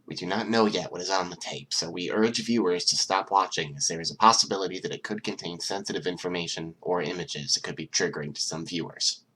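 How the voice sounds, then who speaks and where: A reader acts out a frightened news anchor in an audiobook story. The delivery is a formal bulletin in a low, slightly husky voice, warning viewers gravely. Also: a little fast, hardly any pauses, bright, forceful tone, low-quality recording.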